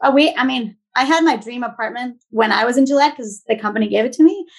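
Creaky-voiced woman from Venezuela. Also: fairly high pitch, quick pace. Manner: warm storytelling, lots of "like" and restarts, laughs easily. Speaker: guest, business owner. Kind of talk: casual interview.

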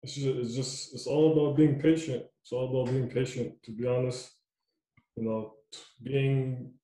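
Laid-back young man, flat and mellow. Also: mid-range voice, reverberant, low-quality recording. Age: young adult. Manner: loose, repetitive, says "you know what I mean" a lot. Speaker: youth basketball coach. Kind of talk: casual podcast chat.

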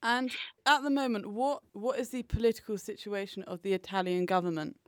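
A woman hosting a current affairs podcast, reading her intro and asking measured questions. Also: medium pitch, fairly even intonation, dry recording, clean studio sound. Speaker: podcast host.

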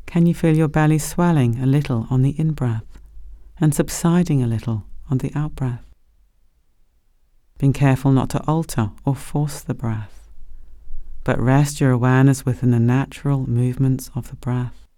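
A man gently leading a guided body scan meditation as a meditation teacher, speaking slowly and soothingly. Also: mid-range voice, fairly even intonation, no echo, clean studio sound. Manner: gentle, slow, soothing instruction.

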